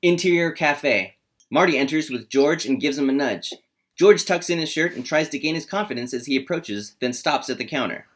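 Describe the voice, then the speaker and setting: A man's voice: on the high side, animated, normal speed, a bit bright. Male narrator reading a screenplay. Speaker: host and narrator. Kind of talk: screenplay narration in a comedy table read.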